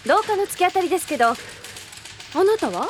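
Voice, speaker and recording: A woman's voice: extremely high pitch, big pitch swings, fairly quick, slight background noise. An actress playing a friendly, helpful female police detective in a Japanese audio drama. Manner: friendly, feminine phrasing.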